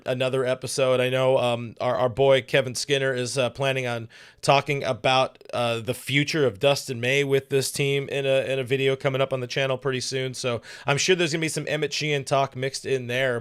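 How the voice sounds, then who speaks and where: A man's voice: mid-range voice, flat delivery, fairly quick, a bit bright, clear, resonant tone. A man co-hosting a sports podcast in casual, joking chat, with lots of filler words as he talks. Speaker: podcast co-host.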